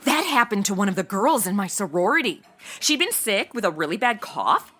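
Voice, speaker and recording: A woman's voice: mid-range voice, sharp tone. A woman playing a bubbly, ditzy young insurance seller in a comedy audio drama, with flippant, slangy Valley-girl chatter. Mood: breezy, candid.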